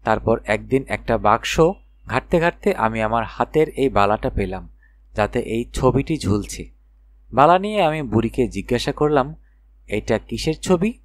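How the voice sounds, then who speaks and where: A man's voice: medium pitch, lively intonation, normal speed, no room sound. A man narrating a children's fairy tale expressively as an audiobook reader.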